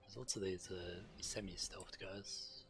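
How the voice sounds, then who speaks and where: A man's voice: deep voice, steady tone, unhurried. A man streaming a game, casually commenting and reading quest text aloud.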